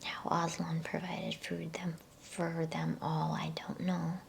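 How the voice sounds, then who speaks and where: A woman reading a bedtime storybook as ASMR in a hushed, unhurried, breathy whisper. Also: low-pitched, monotone, slight background noise.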